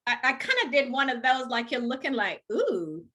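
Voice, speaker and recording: Bright-voiced woman guest, enthusiastic. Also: high-pitched, very animated, moderate pace. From Rwanda and Uganda. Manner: warm, enthusiastic, many fillers. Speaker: professional organizer, guest. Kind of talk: casual interview chat.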